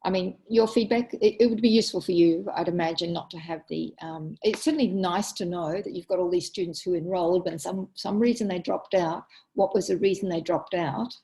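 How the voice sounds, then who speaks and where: A middle-aged woman coordinating an online meeting, presenting data with many ums and halting restarts over a video call. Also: fairly low pitch, warm tone, rough recording.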